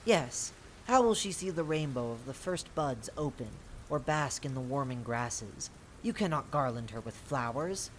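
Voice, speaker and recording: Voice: medium pitch, expressive, unhurried, a little hiss or hum, natural voice, poor audio. A performer voicing proud, rivalrous fae courtiers of the seasons in a theatrical, declamatory audio-drama style. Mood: boastful.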